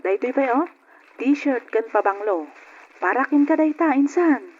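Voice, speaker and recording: A woman's voice: high voice, expressive, measured pace, darkish tone, slight background noise. A woman acting a generous, outspoken cousin in an old radio drama, frank and persuasive in her talk. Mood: cheerful. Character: generous, outspoken female cousin back from abroad. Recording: dull lo-fi old radio recording.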